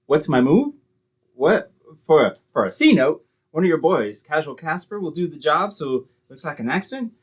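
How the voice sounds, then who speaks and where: A man playing a husky, slangy small-time schemer. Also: on the high side, big pitch swings, moderate pace. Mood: cocksure, scheming. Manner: wisecracking, streetwise, ungrammatical slang. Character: slangy, cowardly small-time schemer boyfriend, breezy and cocksure. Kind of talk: old-time radio situation comedy acting.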